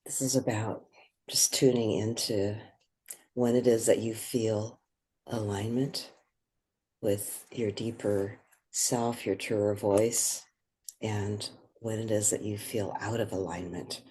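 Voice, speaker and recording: Meditation guide, gentle delivery, slow pace, breathy soft tone, soothing. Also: mid-range voice, steady tone. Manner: soothing, gentle, unhurried with pauses.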